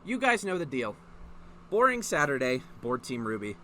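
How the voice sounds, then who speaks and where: A man's voice: medium pitch, big pitch swings, moderate pace, pushed and bright, slight background noise. A man doing a casual comedic reading, profane and mock-exasperated, prone to breaking into laughter as he reacts. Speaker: host of a comedic fan fiction reading.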